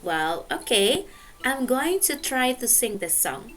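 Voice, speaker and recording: A woman gives a recorded English lesson to young students. Her voice sits high and swings widely in pitch. She talks slowly and carefully, with faint guitar music under her. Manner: slow, careful teacher's talk with lively pitch. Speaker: English teacher.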